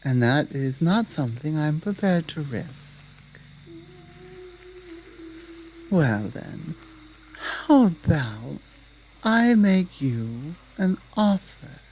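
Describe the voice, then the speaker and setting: A menacing female werewolf pack leader, played by a woman for a roleplay ASMR drama. Her voice is dark and mellow, and she takes her time with every deliberate, taunting command. A faint sizzle of ambient noise sits behind her. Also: sits low, sing-song, exaggerated voice, low-quality recording. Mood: stern, bargaining.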